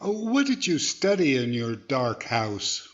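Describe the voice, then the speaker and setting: A man playing a patient, gently chiding straight man with a polite, probing manner, in 1940s radio comedy style. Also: mid-range voice, sing-song, unhurried, highs cut off. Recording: noticeable background noise.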